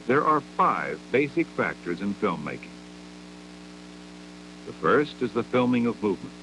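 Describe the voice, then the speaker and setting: A man narrates a scripted 1960s educational film in a measured, didactic manner, with deliberate phrasing, on an old 16mm soundtrack. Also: fairly low pitch, sing-song, music in the background, low-quality recording.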